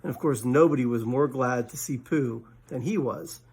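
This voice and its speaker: A man's voice: medium pitch, normal speed, a little mellow, breathy edge. A man reading a children's bedtime story aloud as the storyteller.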